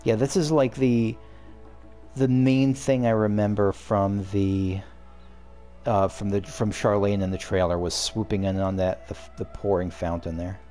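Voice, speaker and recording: A man's voice: fairly low pitch, little pitch movement, unhurried, dark tone, soft background music. A man casually commenting while playing a game, rambling and cutting himself off as he thinks aloud. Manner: rambling, self-interrupting, musing aloud. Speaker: let's play host.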